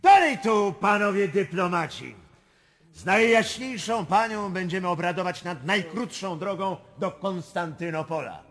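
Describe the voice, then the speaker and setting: An actor playing a bold, mocking courtier in a radio drama, with theatrical, declamatory delivery. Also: male voice, sits high, expressive, measured pace, fairly bright tone, full character voice. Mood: sly, commanding. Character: bold, mocking courtier goading his empress.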